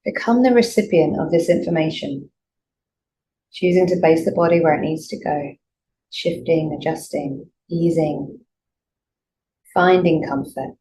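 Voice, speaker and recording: A woman teaching yoga nidra, leading a guided meditation in a gentle, soothing, unhurried way with pauses. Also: low-pitched, poor audio. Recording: clear background noise, room ambience.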